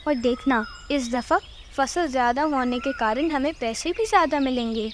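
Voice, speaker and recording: A woman voices a humble, hardworking mother sparrow for a cartoon fable. The high voice is plain and polite, with faint birds and insects behind. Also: normal speed, a little hiss or hum, close to an everyday voice. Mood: hopeful, kindly. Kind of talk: Hindi cartoon dubbing.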